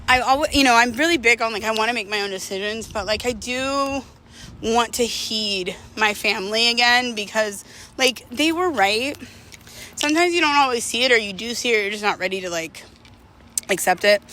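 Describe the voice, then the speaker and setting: A woman's voice: medium pitch, pushed and bright, slight background noise, low-quality recording. A woman talking casually and candidly to her viewers in a reflective, personal monologue, using conversational slang. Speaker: vlogger telling personal stories to viewers.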